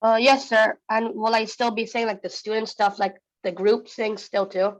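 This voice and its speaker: A polite female student actor with a fairly high voice takes part in a table read. She is deferential in how she talks, and the sound is dry. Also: level tone, moderate pace, no room sound, dull audio.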